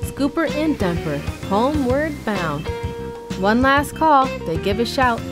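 Slow, swooping woman storyteller over loud music. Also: a little high, lively intonation. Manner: sing-song rhymed delivery, drawn out. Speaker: storybook reader. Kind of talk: expressive children's read-aloud narration. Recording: music behind the voice.

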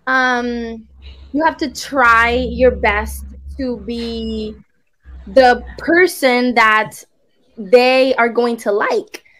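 Bubbly high-voiced woman tutor, unhurried. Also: fairly even intonation, a bit bright, low-quality recording. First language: Spanish. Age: young adult. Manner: bubbly, chatty, laughs often. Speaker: online English tutor. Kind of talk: casual chat on a video call. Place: indoor room. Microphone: video call.

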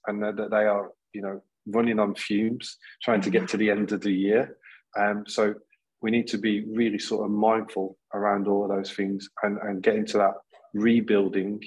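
A man's voice: lowish voice, husky, low-quality recording. A man in his thirties on a video call, speaking earnestly as a panel guest, often restarting and repeating words. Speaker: panel guest, school leader. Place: plain indoor room.

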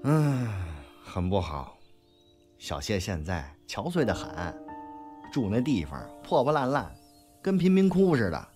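An actor playing an informal, loyal young underling in an audio drama, slangy and hesitant. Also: male voice, fairly low pitch, huge pitch swings, a little slow, over music. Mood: hesitant, probing. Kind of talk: Chinese audio drama acting.

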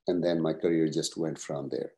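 A man in his sixties, originally from Pakistan, answering interview questions over a video call at a measured pace, with many "uh" pauses. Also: very deep voice, fairly even intonation, warm tone. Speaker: guest, professor and retired executive.

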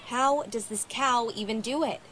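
A woman's voice: a little high, normal speed, fairly bright tone, a little hiss or hum, lightly acted, rough recording. A woman voicing a spirited teenage girl horse rider in a video game, youthful and confident. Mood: concerned.

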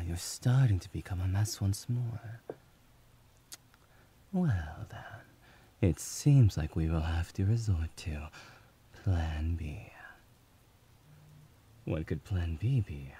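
A man voicing a devoted, doting young male servant in an ASMR roleplay, whispering softly and soothingly. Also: low-pitched, slow pace, long pauses, slight background noise. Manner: soft whispering, affectionate and soothing.

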